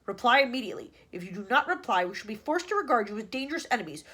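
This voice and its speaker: A woman reading aloud gives voice to a booming, commanding male voice from a loudspeaker, speaking loudly and sternly. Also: sing-song, very fast, breathy edge, exaggerated voice. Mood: booming, threatening. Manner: loud, stern, threatening.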